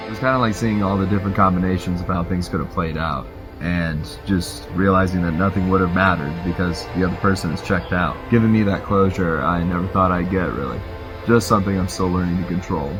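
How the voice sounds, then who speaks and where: A man reading a reflective, confessional personal video essay as a scripted narration. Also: low voice. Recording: guitar music behind the voice. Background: guitar music.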